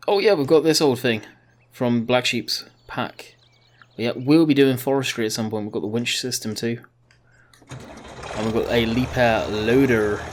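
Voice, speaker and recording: A man with a slightly croaky voice giving rambling, chatty gameplay commentary, often correcting himself mid-sentence. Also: mid-range voice, noisy background. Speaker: gaming video host.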